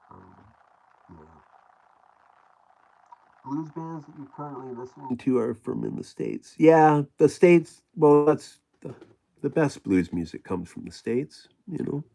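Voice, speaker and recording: A middle-aged man with a gravelly voice, hosting a casual livestream and chatting with viewers in a rambling way full of fillers and restarts. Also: a little high, lively intonation, unhurried, long pauses, warm tone, rough recording. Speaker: livestream host reading chat.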